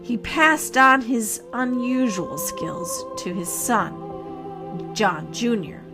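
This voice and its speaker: A woman podcast host telling a scripted ghost story in a theatrical, measured way with dramatic pauses. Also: high voice, wildly swooping pitch. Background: music.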